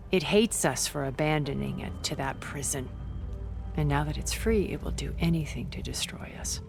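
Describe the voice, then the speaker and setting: A woman playing a cool, world-weary immortal colonist in a video game, explaining in a measured, dry, condescending way. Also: low voice, creaky. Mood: grim, condescending. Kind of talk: video game cutscene acting. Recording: background music.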